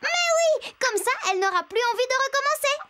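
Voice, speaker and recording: A chirpy little cartoon storyteller, playful and chatty with the viewer. The voice is extremely high and childlike, over loud children's music. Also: female voice, lively intonation, fairly quick. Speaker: narrator.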